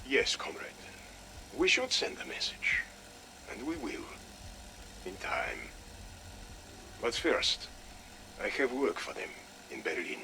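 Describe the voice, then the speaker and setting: Forceful, scheming Soviet colonel. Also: male voice, mid-range voice, huge pitch swings, gravelly, full character voice. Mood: cold, calculating. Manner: deliberate, authoritative, clipped orders. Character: commanding, scheming Soviet colonel, cold and menacing. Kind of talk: video game audio log acting. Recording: noisy audio log.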